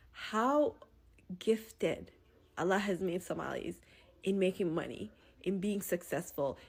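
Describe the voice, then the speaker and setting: A woman's voice: mid-range voice, a little mellow, breathy edge. A woman giving a casual, opinionated social media monologue, conversational and emphatic, repeating her points.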